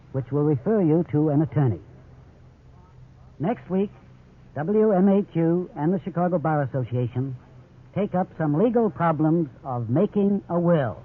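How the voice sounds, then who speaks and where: A man's voice: on the high side, breathy edge. A man with an American accent giving a formal, scripted advisory address as a legal counselor on 1950s radio. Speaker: law school dean, legal counselor on radio. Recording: tinny 1950s radio recording.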